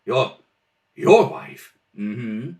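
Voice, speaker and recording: A man's voice: medium pitch, sing-song, very slow, a little mellow. A man playing a sheepish, shifty pickpocket in an old-time radio play, hesitant and stammering. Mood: sheepish, nervous. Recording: home kitchen, distant mic.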